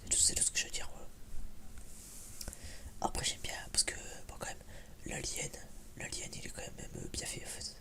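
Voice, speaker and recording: A man whispering in a breathy, almost unvoiced voice, chatting casually and hesitantly in a rambling, blunt, slangy ASMR style. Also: normal speed, pauses often. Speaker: ASMR YouTuber, film reviewer.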